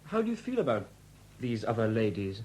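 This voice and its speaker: An actor playing a courteous, slightly awkward police sergeant, speaking hesitantly in a 1970s radio drama. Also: male voice, fairly low pitch, big pitch swings, fairly quick, a little mellow, low-quality recording. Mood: polite, probing. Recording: faint hum on old radio recording.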